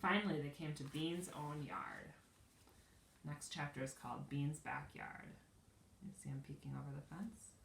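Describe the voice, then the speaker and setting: A woman teacher reading a children's storybook aloud expressively, pausing to explain the pictures. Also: low voice, gravelly, a bit bright, a little hiss or hum. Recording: echoey room, distant from mic.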